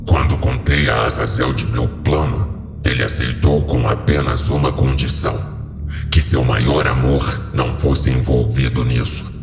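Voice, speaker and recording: An ancient fallen lord of demons speaks from a cavernous, long reverb. The voice is abyssally low and full of gravel, solemn and commanding, every phrase a stately pronouncement for a horror audio story. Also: male voice, fairly even intonation, measured pace, exaggerated voice. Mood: solemn, revealing. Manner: solemn, stately, portentous delivery. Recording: heavily reverberant voice over music.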